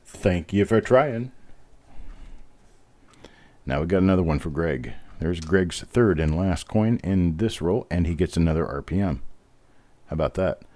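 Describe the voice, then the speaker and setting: A man hosting a live coin reveal, with a smooth deep voice, chatting in a relaxed way and making self-deprecating jokes. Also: unhurried. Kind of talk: casual live-stream commentary.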